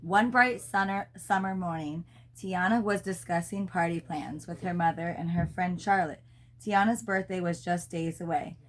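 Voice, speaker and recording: A woman's voice: on the low side, moderate pace, slight background noise. A woman reading a children's storybook aloud, as a mother does for her child.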